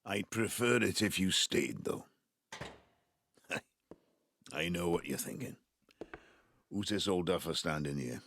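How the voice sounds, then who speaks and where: An actor playing a jovial Welsh father of seventy-five in an audio drama, with a South Wales Valleys accent, a husky gravelly voice and an effusive, jokey manner. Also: low voice, lively intonation, takes it slowly, long pauses, a bit bright, no room sound, full character voice. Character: ageing Welsh father, jovial rogue seeking to make amends. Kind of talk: naturalistic audio drama acting.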